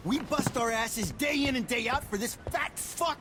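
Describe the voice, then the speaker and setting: An actor playing a hotheaded young dock worker who shouts with defiant anger. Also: male voice, extremely high pitch, normal speed, faint music behind. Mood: furious. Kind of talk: video game cutscene acting.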